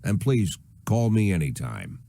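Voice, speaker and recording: An actor playing a middle-aged, world-weary police chief in a noir video game, speaking in a deliberate, wry way. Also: male voice, lowish voice, sing-song, gravelly, mellow, no echo, clean studio sound, heavily acted. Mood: strained. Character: weary, brooding police chief facing forced retirement.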